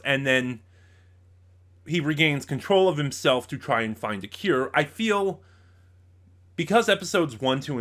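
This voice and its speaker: Guy doing an off the cuff review, bright forward voice, keeps stumbling and regrouping. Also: mid-range voice. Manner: halting, loses his train of thought. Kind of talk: casual unscripted audio drama review.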